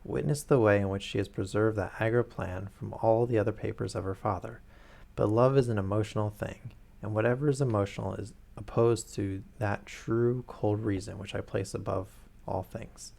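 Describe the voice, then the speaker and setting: A man reading aloud the lines of a cool, aloof male detective in a measured, dry way. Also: on the low side, fairly even intonation, gravelly, darkish tone.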